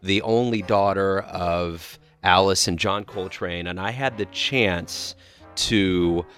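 A man's voice: sits low, slow pace, a bit bright, soft background music. A man hosting a radio show, chatting casually and enthusiastically, rambling and restarting his sentences.

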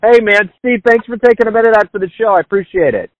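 A man's voice: high voice, fast, no room sound. A man hosting a radio interview, asking questions in a friendly, conversational way. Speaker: radio host. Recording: dull lo-fi line.

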